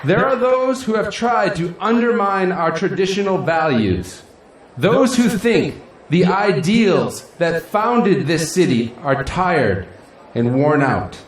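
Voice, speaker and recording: A man acting a middle-aged, self-assured city politician in an audio drama, folksy and grandiose, with dramatic pauses. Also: high voice, lively intonation. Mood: rousing, resolute. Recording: echoey hall, faint crowd and noise.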